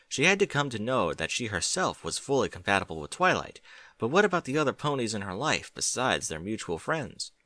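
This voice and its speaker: Animated, bright-voiced male story reader. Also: lowish voice, dry recording. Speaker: fan fiction reader. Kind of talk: reading a story aloud.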